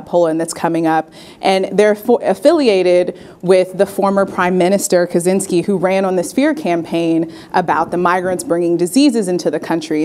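Young female co-host, low voice, earnest opinion delivery in studio. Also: darkish tone. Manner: earnest, argues her point with fillers. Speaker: news show co-host. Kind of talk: news commentary. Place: TV studio desk. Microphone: lapel mic.

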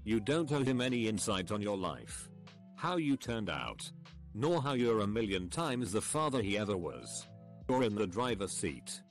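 Man, lowish voice, reads forum posts aloud, even pace, soft music behind. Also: normal speed. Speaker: narrator reading forum comments. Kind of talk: reading forum comments aloud.

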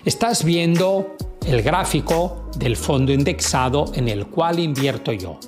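A man's voice: on the high side, lively intonation. Guy in his 50s doing a scripted money explainer, emphatic, studio mic, music underneath. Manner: emphatic, measured delivery. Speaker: finance YouTuber. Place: dim studio room with blue light. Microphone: studio mic on stand.

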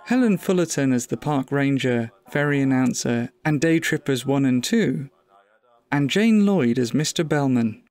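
Lively male podcast announcer. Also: medium pitch. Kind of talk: scripted closing credits. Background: music.

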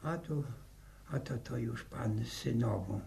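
Gentle rambling village old man, gravelly and unhurried, vintage radio play with some noise. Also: mid-range voice. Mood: hesitant, hospitable. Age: older. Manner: hesitant, repeats words, muttering. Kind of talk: 1960s Polish radio drama acting. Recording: noisy vintage radio recording.

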